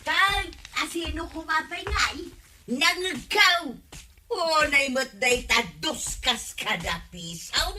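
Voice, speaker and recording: A woman's voice: high voice, expressive, takes it slowly, bright, forceful tone, a little hiss or hum, exaggerated voice. A woman acting a cheerful, boastful Filipino villager given to gleeful exclamations in a comedy radio drama. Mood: indignant.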